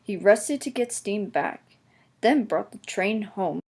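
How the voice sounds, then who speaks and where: Woman, storybook narrator, slow pace, mid-range pitch, scripted children's reading. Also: lively intonation, a little mellow, breathy edge.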